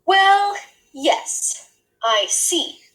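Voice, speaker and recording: Woman playing brisk, emphatic storybook doctor, swooping pitch. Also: sing-song, fairly bright tone, full character voice, low-quality recording. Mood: pondering, businesslike. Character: male family doctor, brisk, fascinated, self-assured.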